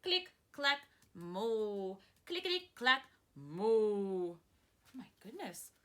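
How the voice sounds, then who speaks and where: Bright, very lively woman reading to young children. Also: mid-range voice. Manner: slow, deliberate and dramatic, stretching words, pausing to ask questions; encouraging, praising and addressing listeners as friends. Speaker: preschool teacher reading aloud. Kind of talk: interactive picture-book read-aloud for young children.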